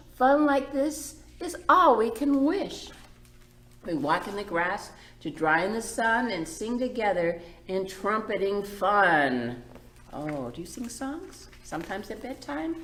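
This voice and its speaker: A middle-aged woman hosting a children's storytime, reading a picture book aloud and putting simple questions to young listeners. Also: fairly high pitch. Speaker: children's librarian and storytime host. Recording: roomy echo.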